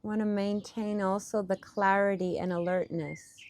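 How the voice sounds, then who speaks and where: A woman leading a guided meditation as a teacher, with gentle, pausing phrasing, speaking outdoors. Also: mid-range voice, fairly even intonation, a little hiss or hum. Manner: unhurried, gentle phrasing with pauses. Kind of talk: guided meditation, softly instructive and partly improvised. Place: outdoors in a park. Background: birdsong.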